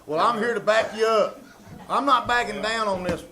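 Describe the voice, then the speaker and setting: A man's voice: high voice, normal speed, a crowd in the background. A man teasing in folksy live stage banter. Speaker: troupe member. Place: theater stage before a live audience.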